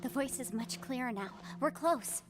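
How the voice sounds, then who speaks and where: A woman voicing an earnest, urgent young female adventurer in a fantasy video game, speaking crisply and emphatically. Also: sits high, moderate pace, over music, close to an everyday voice. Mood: searching, hopeful.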